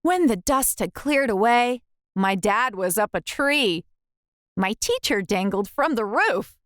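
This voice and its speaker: Animated, high-voiced woman reading a storybook. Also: a little slow, dry recording, studio quality. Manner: theatrical, storytelling delivery with emphatic rhyme. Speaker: children's book narrator. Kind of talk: expressive read-aloud of a rhyming picture book.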